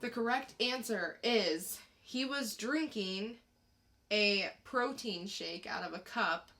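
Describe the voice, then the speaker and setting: A woman's voice: medium pitch, takes it slowly, sharp tone. A woman co-hosting a podcast, telling stories in casual conversational banter. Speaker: podcast co-host.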